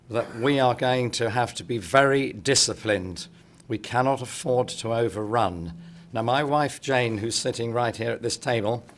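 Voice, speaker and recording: A middle-aged man with a croaky, hoarse voice opening a conference in a hotel meeting room, chatty and joking with the audience. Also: mid-range voice, a little hiss or hum. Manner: chatty, jokey, addresses the room directly. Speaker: speaker opening a political congress.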